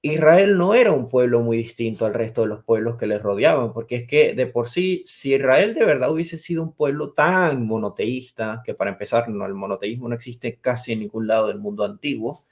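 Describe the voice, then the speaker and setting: A man's voice: medium pitch, lively intonation, fairly quick, few pauses. A man in his twenties from Venezuela, a theology teacher explaining terms step by step as a podcast guest. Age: 20s. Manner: lecturing, defines terms step by step. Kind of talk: explanatory interview answers.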